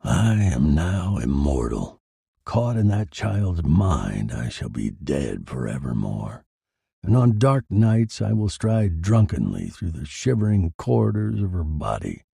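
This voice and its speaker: A man's voice: low-pitched, very animated, slow, gravelly, dark tone, breathy edge, no echo, clean studio sound, pitched below the performer's own voice, exaggerated voice. A man voicing a bitter, sardonic dead husband who narrates his own noir horror story in a theatrical way. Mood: macabre, menacing. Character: dead murdered husband, bitter, wry, macabre narrating corpse.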